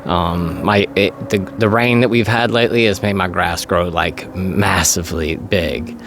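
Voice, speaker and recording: Middle-aged man, low raspy voice, allergy-worn throat, casual rambling monologue, clear background noise. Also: steady tone, unhurried. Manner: rambling, conversational. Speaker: vlogger.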